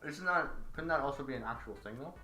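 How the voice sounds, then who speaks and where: Bright-voiced deadpan male guest. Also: medium pitch, fast talker, reverberant, soft background music, rough recording. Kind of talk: comic mock talk show chat.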